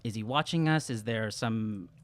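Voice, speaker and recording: A man's voice: on the low side, moderate pace, no room sound. Hesitant young man playing a tabletop game. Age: young adult. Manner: hesitant, thinking aloud. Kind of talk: casual improvised roleplay. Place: small indoor studio room. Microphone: close studio podcast mic with windscreen.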